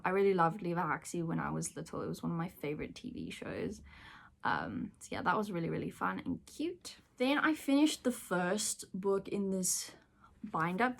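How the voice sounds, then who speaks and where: A woman's voice: fairly low pitch, gravelly. A woman whose first language is Afrikaans, chatting casually to camera about the books she has read, with lots of ums. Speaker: booktuber. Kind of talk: casual chat. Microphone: phone camera.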